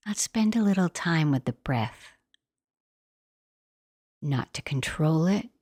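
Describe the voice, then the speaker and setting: A woman guiding a meditation in a gentle, soothing, unhurried manner, like soft instructional narration. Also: deep voice, no echo, studio quality. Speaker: meditation guide.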